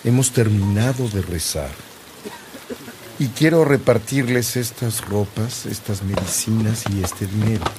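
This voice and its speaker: Slow, deep-voiced Mexican bishop, played by an actor. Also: male voice, background noise, natural voice. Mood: caring, exhorting. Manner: solemn, deliberate, pastoral. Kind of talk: Spanish-language radio drama acting.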